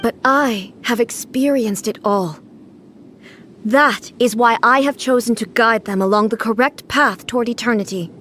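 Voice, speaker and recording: Lonely goddess ruler, fantasy game, highish voice, formal, measured, regal, faint background ambience. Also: female voice, a bit bright, slight background noise. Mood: solemn. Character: solemn, lonely immortal female ruler goddess of eternity. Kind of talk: anime fantasy game voice acting.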